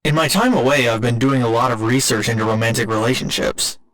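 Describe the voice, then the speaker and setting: A gentle robot boyfriend played by a man speaks in an intimate ASMR roleplay. His male voice sits at a middle pitch, and his phrasing is formal, measured and precise. He comes across as an earnest machine trying to learn about love. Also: a little hiss or hum, close to an everyday voice. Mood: fond, polite.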